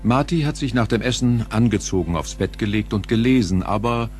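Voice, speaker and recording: A man's voice: lowish voice, a little fast, over music. A man narrating an audio drama in a scripted storytelling style.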